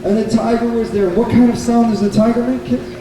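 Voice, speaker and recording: A man's voice: very high-pitched, steady tone, brisk. A man telling a puppet-show story to children in simple, repeated phrases, often putting questions to his listeners. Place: bookstore event space. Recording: very echoey hall, distant mic, noisy.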